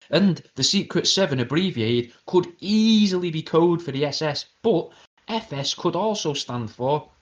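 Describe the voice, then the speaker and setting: A British man hosting an internet radio show, delivering a crude, rambling comedic conspiracy monologue in a deadpan way. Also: fairly high pitch, muffled recording. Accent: British English.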